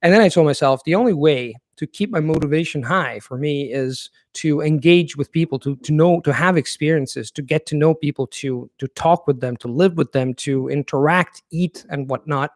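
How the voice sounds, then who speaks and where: A man hosting a live viewer Q&A. His voice sits a little high for a man, and his explanations are earnest and long. The sound is dry and close, with no room echo. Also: moderate pace. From Italy. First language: Italian. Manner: thoughtful and earnest, explaining at length. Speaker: language-learning channel host. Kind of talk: live Q&A, reading viewer questions and answering.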